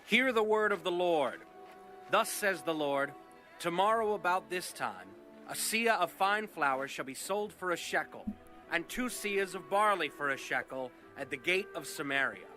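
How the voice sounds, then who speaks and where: An actor playing a stern prophet in an audio Bible drama, declaiming solemnly. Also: male voice, high-pitched, lively intonation, a little slow, sharp tone, faint music behind, exaggerated voice. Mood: solemn, forceful. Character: stern prophet solemnly proclaiming God's word.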